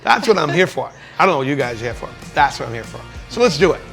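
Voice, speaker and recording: A man's voice: a little high, very animated, quick pace, gravelly, music in the background. A man with a Boston accent hosting a casual travel and food vlog, chatting in a rambling, enthusiastic way. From Boston area. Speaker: travel show host.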